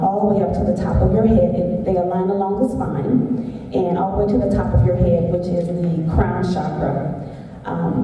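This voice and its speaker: A soft-spoken woman leading a motivational workshop, talking gently with many pauses and ums. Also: on the low side. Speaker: workshop speaker. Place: event room at a university. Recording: echoey hall from far off, noisy.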